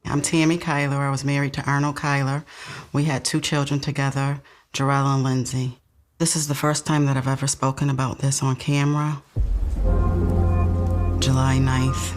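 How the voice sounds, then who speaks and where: A woman interviewed on camera, recounting a painful night in a halting, emotional way. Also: rumbling low. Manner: halting, emotional retelling in the present tense. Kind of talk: recounting a personal tragedy on camera. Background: soft music.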